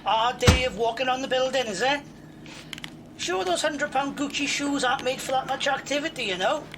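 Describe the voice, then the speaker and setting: An actress playing a pretentious, lazy young slacker and snob in an audio drama, pompous and theatrical. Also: female voice, high-pitched, moderate pace, noisy background. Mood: flippant.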